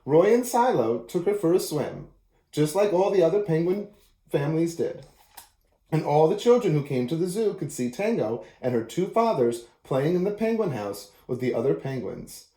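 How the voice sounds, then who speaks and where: Lively, mellow-voiced man reading aloud. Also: a little high, moderate pace. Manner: expressive storytelling. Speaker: children's book reader. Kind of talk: reading a picture book aloud. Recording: echoey room.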